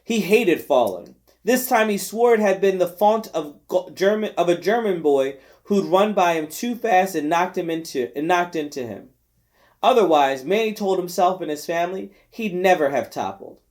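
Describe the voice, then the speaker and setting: A man narrating a novel aloud in an expressive storytelling style with deliberate phrasing. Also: high-pitched. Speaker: volunteer reader of a novel. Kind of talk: scripted audiobook narration.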